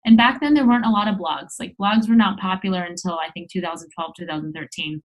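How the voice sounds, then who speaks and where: A woman's voice: fairly low pitch, fast, low-quality recording. American woman in her thirties, chatting candidly. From Los Angeles, United States. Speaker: interview guest, travel blogger. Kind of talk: casual conversational interview.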